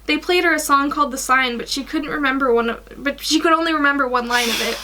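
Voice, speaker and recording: Flustered teenage wizard boy for story reading female reader, defensive, pleading, very high and sharp. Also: fast, low-quality recording. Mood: confessing. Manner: pleading, protesting.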